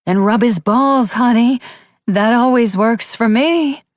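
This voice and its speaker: A woman's voice: a little high, slow, no echo, studio quality, voice pushed up. A woman voicing a cruel, scornful mother who berates her daughter. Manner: sneering, contemptuous.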